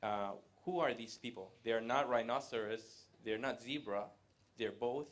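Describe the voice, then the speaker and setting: A man, giving a live presentation to an audience in a conversational way, pausing often to hesitate. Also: medium pitch. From Latin America. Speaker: guest speaker. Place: event room with a live audience.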